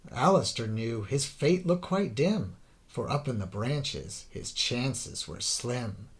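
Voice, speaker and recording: A man reads a rhyming children's tale as a storybook narrator. His delivery is theatrical and deliberate, with a slow pace and drawn-out phrase endings. The pitch swings widely as he goes. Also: lively intonation. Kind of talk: dramatic scripted children's story narration in rhyme.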